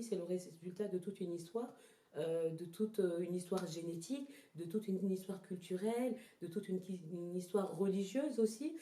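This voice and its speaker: A woman from Togo who grew up partly in France gives reflective, personal interview answers, searching for her words with pauses and repetitions. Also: fairly low pitch, mellow. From born in Togo, lived in Ghana, moved to France as a child. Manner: hesitant, halting, repeats words as she searches for phrasing. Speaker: interview guest, traditional therapy practitioner. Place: indoors in a house. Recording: roomy, echoing indoor sound.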